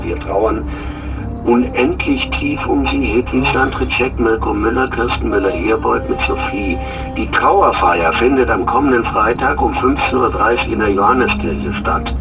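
An actor playing a considerate, matter-of-fact male friend over a telephone in a radio drama, speaking in a measured way. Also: lowish voice. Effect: phone filter. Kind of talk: naturalistic radio drama acting. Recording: tinny distant phone line, music behind.

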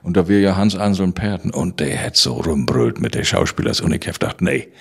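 An older man with a northern German, Low German accent, telling anecdotes as an interview guest and lively raconteur. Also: fairly quick, breathy edge. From northern Germany, Baltic coast. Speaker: interview guest, theatre writer and dramaturge.

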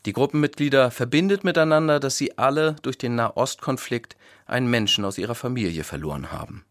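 Animated male pastor, dry close sound. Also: mid-range voice, dry recording. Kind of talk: scripted radio reflection.

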